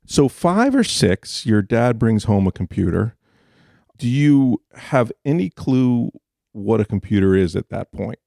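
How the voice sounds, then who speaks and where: A man's voice: medium pitch, sing-song, a little slow, vocal fry, warm tone, dry recording, clean studio sound. A man hosting a podcast interview in a guest's home, asking curious follow-up questions in a casual way. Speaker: podcast host.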